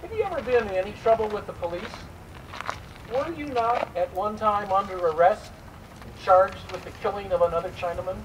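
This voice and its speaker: A man's voice: high-pitched, brisk, natural voice. A man playing a stern, suspicious immigration interrogator of the 1910s, formal and probing, in a dramatic reading. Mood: accusing. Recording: distant microphone in noisy live room.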